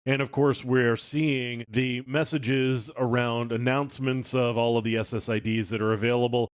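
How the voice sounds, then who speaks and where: A man teaches in the style of a screencast, explaining things as he demonstrates them. His voice sits at an average male pitch. The delivery is informal and unscripted, and the recording is dry, with little room sound. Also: level tone, normal speed, smooth, dry recording. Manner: informal, unscripted walk-through. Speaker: instructor.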